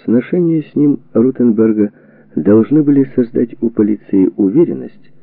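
Gravelly male narrator, phone-like sound. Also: mid-range voice, animated, moderate pace, dry recording. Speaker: audiobook narrator. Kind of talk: scripted narration of a historical book. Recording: narrow phone-like band, lo-fi audio.

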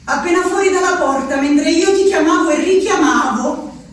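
A woman's voice: very high-pitched, normal speed. An actress with an Abruzzo accent playing a woman shut in an asylum, obsessively counting, in a fervent, rambling, repetitive stage monologue, heard from far off on an echoey, noisy stage. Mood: bitter. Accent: Abruzzo Italian.

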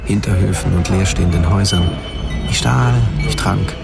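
An actor playing a former homeless addict, narrating a terse, blunt confession in a radio drama with a gravelly voice. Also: male voice, low-pitched, moderate pace. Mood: bleak confession. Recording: loud music under the voice.

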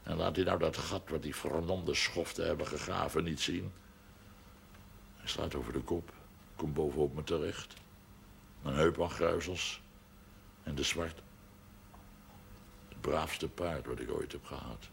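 A hot-headed, proud farmer in a television drama. His creaky voice is folksy and emphatic as he talks around his point. The old soundtrack has a faint layer of noise. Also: rumbling low, steady tone, unhurried, long pauses, full character voice. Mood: bitter, recounting. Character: proud, hot-headed farmer with seven sons, scheming neighbour. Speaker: actor in a television drama series. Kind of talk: naturalistic period television drama acting.

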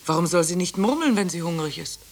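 An actress playing a quiet, fair-minded and anxious farmer's wife in a 1950s German radio play, with a subdued, restrained delivery. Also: female voice, fairly low pitch, animated, fast talker. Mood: gently doubting.

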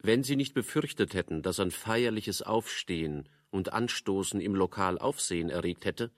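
Male actor as radio play narrator, man, deep pitch, dry close sound, detached, scripted literary delivery. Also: moderate pace, dry recording, close to an everyday voice.

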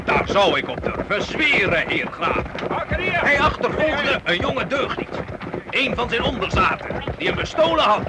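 A scornful medieval knight in radio drama, pitched extremely high for a man. He is formal, emphatic and servile to his lord, on a very noisy old recording. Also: big pitch swings, measured pace, exaggerated voice. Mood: indignant, servile. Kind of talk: old radio play acting.

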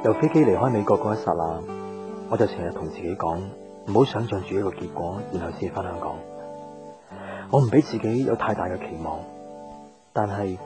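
A man's voice: lowish voice, lightly acted. A man playing an earnest young man in love in a radio drama, sincere and understated, with a Hong Kong accent. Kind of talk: 1990s radio drama acting. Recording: radio drama over guitar music.

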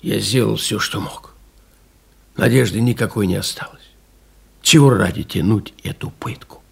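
An actor playing an exhausted, stubborn pilot recalling his survival in a radio play, speaking in a husky, gravelly voice with halting, weighted pauses. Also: lowish voice, heavily acted. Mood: despairing. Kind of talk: dramatic radio play monologue.

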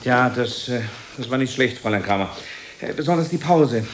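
An actor playing a brusque, authoritative theatre director in a German radio play, halting and repeating himself as he gives orders and dismisses. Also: mid-range voice, expressive, background noise, close to an everyday voice. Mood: hesitant, dismissive.

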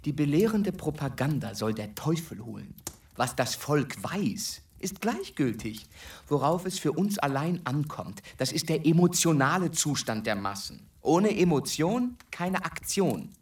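An actor playing a forceful, arrogant male revolutionary propagandist, lecturing emphatically in a radio drama. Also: fairly high pitch, sing-song, measured pace, far from the mic. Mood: scornful, emphatic. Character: forceful revolutionary propagandist, former medical student, coldly arrogant.

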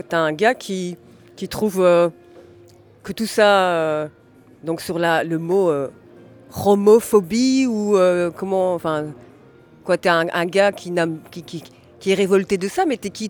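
Male interviewer, high voice, rambling, halting questions, faint music behind.

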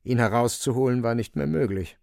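A man's voice: mid-range voice, fairly quick, gravelly, a little mellow, no room sound, studio quality, close to an everyday voice. A man narrating a literary radio play as a wry, vivid storyteller with dramatic delivery.

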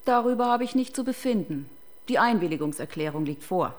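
An actress playing a cold, defiant clinic doctor under interrogation in a German radio crime drama, formal and indignant. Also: female voice, medium pitch, animated, brisk, lightly acted. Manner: formal, clipped, indignant, evasive.

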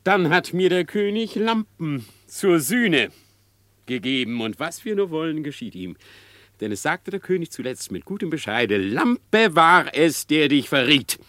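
An actor playing a sly, scheming male fox in a radio play, smooth and mocking with chuckles. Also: on the high side, big pitch swings, measured pace, a bit bright, dry recording. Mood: gloating. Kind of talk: classic radio play acting.

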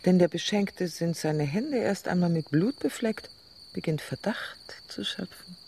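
Dry practical creep in a radio play, slightly high voice, totally callous. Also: male voice, animated, unhurried, creaky, a little mellow, slight background noise, exaggerated voice. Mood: callously practical. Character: dry, callously practical man musing on gruesome business. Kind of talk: stylized radio play acting.

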